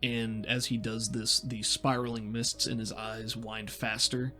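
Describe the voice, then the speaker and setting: A man's voice: lowish voice, flat delivery, unhurried, bright, forceful tone, faint music behind. A man working as game master, narrating a tabletop game in a descriptive, conversational way as he thinks aloud.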